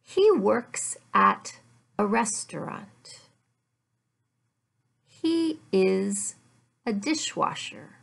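A middle-aged woman teaching English, speaking deliberately with careful enunciation and repeating simple phrases for learners. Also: mid-range voice, animated, gravelly, fairly bright tone. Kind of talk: slow, simplified language lesson read aloud. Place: furnished room at home.

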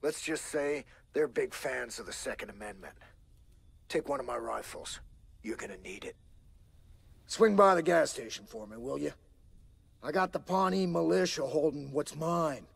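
Middle-aged American junkyard hacker, rough husky voice, higher-pitched, sardonic, folksy, cocky, video game. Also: male voice, expressive, moderate pace, heavily acted. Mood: wry. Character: cranky, cocky older male hacker turned junkyard sculptor.

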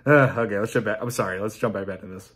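Casual male reaction host, thirties, husky voice, amused and halting delivery. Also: lowish voice, fairly bright tone. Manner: halting, amused, laughs while talking. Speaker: YouTube host reacting to video game clips. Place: small indoor room at home.

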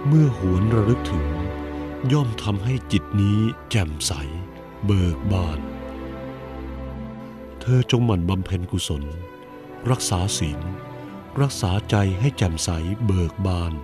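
Serene Buddha teacher, low, measured, over music. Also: male voice, animated. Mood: solemn. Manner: measured, solemn preaching. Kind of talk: animated cartoon voice acting.

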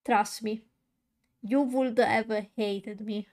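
A woman's voice: a little high, fairly even intonation, slow pace, a little mellow. A young woman streaming while coloring, chatting casually to her viewers, with a stutter and many fillers and restarts. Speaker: VTuber streamer.

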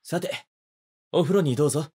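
An actor playing a polite, gentlemanly young wedding photographer who speaks softly and teases the listener in an audio drama. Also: male voice, fairly high pitch, moderate pace, breathy edge. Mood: teasing, intimate. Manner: soft-spoken, polite, teasing.

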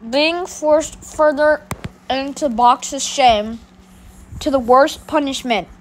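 A woman's voice: sits high, slow pace, slight background noise, rough recording. A woman voicing a bossy older boy who gloatingly announces punishments in a homemade skit.

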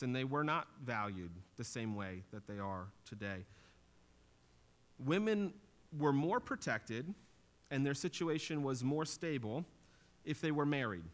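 A man's voice: medium pitch, big pitch swings, a little slow, a bit bright. A man in his thirties preaching a sermon in a small church, explaining scripture in an earnest teaching tone that lifts for emphasis. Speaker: pastor. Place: small church stage with drum kit.

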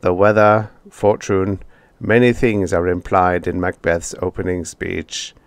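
Man doing a robot teacher, metallic, unfeeling, low voice, slow and measured. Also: flat delivery, dry recording. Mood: instructive. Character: flat metallic male robot teacher, calm.

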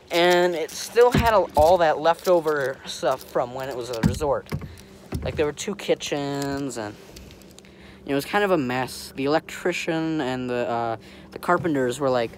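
A young American man rambling casually to camera in an offhand, easygoing way, recorded outdoors on a phone. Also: fairly high pitch. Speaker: vlogger. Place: outdoors on a lake in a kayak and on shore. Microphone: phone held or propped close. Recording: noisy outdoor wind and water noise.

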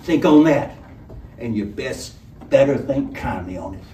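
A man voicing a swaggering, threatening highway robber with rough, folksy commands in a storybook reading. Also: animated, a little slow, dark tone, close to an everyday voice. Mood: menacing. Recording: roomy, distant microphone, some noise.

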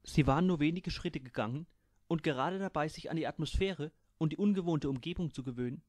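A man's voice: on the high side, fairly quick, darkish tone, no echo. A man narrating his own humorous science fiction story in a dry storytelling manner. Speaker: author reading his own book. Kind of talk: audiobook narration.

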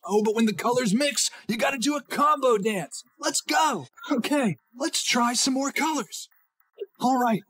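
An excited man in his thirties presenting a children's show with energetic, exclamatory delivery. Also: very high voice, slightly rough.

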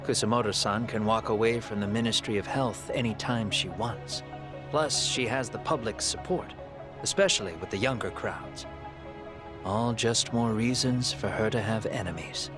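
An actor playing a grave, calculating middle-aged man in a video game drama, speaking in a measured, deliberate way. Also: fairly low pitch, music in the background, close to an everyday voice. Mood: analytical. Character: composed middle-aged male fugitive avenger, calculating and grave.

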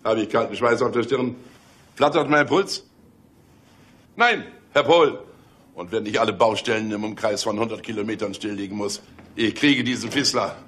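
A man's voice: lowish voice, normal speed, fairly bright tone, close to an everyday voice. An actor playing a stubborn, sardonic male police detective in a German radio crime drama. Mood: boastful, defiant. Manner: blustering, sardonic.

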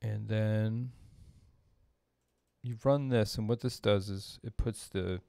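A middle-aged man giving an informal tutorial at his desk, thinking aloud in a halting way as he explains. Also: on the low side, steady tone, gravelly, mellow, dry recording, clean studio sound. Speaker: composer demonstrating audio programming. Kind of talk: informal screen-share tutorial. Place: small indoor room at a desk.

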